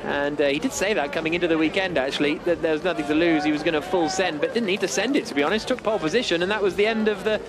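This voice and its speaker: A man giving live, excitable, dramatic motor racing commentary from a commentary box. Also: on the high side, rapid-fire, few pauses. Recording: loud engine noise behind. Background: race cars and engine noise.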